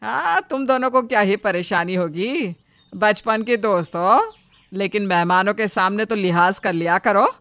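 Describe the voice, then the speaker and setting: A woman's voice: mid-range voice, normal speed, a bit bright, dry recording, natural voice. A woman voicing an irritable, jealous middle-aged Indian mother-in-law in a cartoon moral story, with a scolding, emphatic manner.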